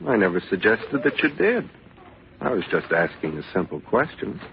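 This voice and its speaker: An actor playing a soft-voiced, mildly polite but insinuating police detective in a 1940s radio suspense drama. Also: male voice, fairly low pitch, very animated, gravelly. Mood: bland, insinuating. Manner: mild, insinuating, unhurried politeness. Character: bland, needling, soft-spoken heavyset male police detective. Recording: muffled, noisy vintage radio broadcast.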